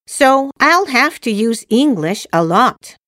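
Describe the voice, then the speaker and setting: A woman plays a cheerful, eager girl student in a scripted English lesson dialogue. Her voice is high and she speaks slowly, with careful textbook enunciation. The sound is dry and close. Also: sing-song, fairly bright tone, no echo, exaggerated voice.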